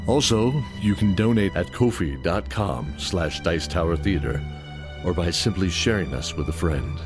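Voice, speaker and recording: Low-voiced man narrating a fantasy tale. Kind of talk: scripted fantasy audio drama narration. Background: music.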